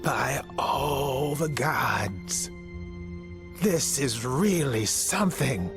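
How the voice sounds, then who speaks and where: A weary male scholar in a game cutscene, bookish and bitter. The voice is gravelly and unhurried, with halting phrases, over clear background music. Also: mid-range voice, expressive, heavily acted. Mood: awed, eager. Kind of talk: video game cutscene acting. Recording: music under the voice.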